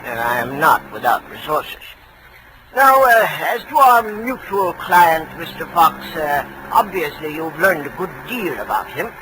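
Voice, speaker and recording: A man's voice: a little high, very animated, measured pace. A man playing a jovial, slick, pompous lawyer in 1940s radio style, with florid, hemming, old-fashioned speech. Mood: jovial, smooth. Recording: noisy vintage radio recording.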